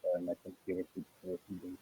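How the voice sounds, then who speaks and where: A man's voice: sits low, completely flat delivery, racing, warm tone, slight background noise, poor audio. A man playing a tabletop game, chatting casually as one of the players.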